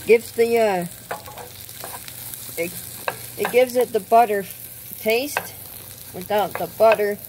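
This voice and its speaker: Woman host, casual cooking demo, unhurried and halting, recorded on a phone by the grill. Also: medium pitch, noisy background. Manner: unhurried, hesitant with frequent ums. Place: outdoors at a gas grill.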